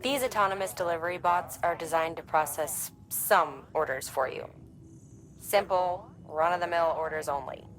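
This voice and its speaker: A woman's voice: lowish voice, moderate pace, soft background music, close to an everyday voice. An actress playing a composed woman guide who gives scripted tutorial instructions in a sci-fi video game. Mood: instructive.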